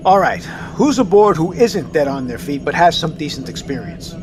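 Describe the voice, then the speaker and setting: An actor playing a tired, decisive submarine captain who gives calm, brisk orders in an audio drama. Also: male voice, fairly high pitch, background noise, close to an everyday voice. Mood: calm command.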